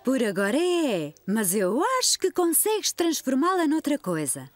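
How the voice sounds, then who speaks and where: A woman's voice: high voice, big pitch swings, normal speed, no echo. A woman voicing a kind, encouraging young preschool teacher in a children's cartoon, with a European Portuguese accent. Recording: music behind the voice.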